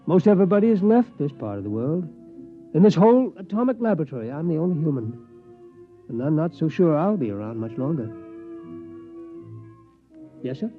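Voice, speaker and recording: An actor playing a folksy, rambling, lonely security guard in a 1950s radio drama, muffled old recording. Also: male voice, fairly high pitch, big pitch swings, faint music behind. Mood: hesitant, concerned. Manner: folksy, halting.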